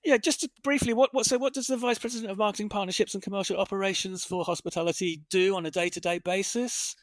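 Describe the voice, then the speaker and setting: A man's voice: high-pitched, fairly even intonation, fast talker, no echo. A man hosting a corporate podcast, chatty and loosely structured, repeating phrases while he frames his questions. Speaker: podcast host.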